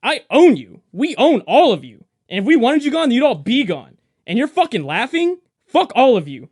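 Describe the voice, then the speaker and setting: A man playing a rich, entitled teenage boy in an explosive rage, yelling and swearing with menace. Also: extremely high pitch, very animated, moderate pace, slightly rough, no echo, studio quality, full character voice. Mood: enraged, menacing. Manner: yelling, foul-mouthed, menacing. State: enraged.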